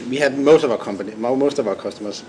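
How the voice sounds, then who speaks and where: A man's voice: medium pitch, fast talker. A man with a Danish accent answering interview questions, explaining his points steadily and often restarting phrases. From Denmark. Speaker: company founder and CEO, guest. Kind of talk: business podcast interview. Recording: noisy room.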